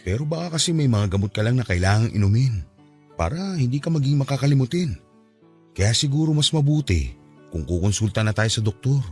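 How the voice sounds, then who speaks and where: A man's voice: medium pitch, sing-song, brisk, a little mellow, breathy edge, soft background music. A man playing a patient, caring husband in a radio drama, speaking earnestly and coaxingly. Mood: gently worried. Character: patient, caring husband and father, reassuring his forgetful wife. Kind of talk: Tagalog radio drama acting.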